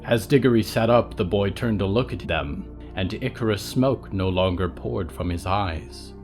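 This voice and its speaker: A man's voice: fairly low pitch, normal speed. A man narrating scripted horror fiction as a storyteller, with instrumental music behind him.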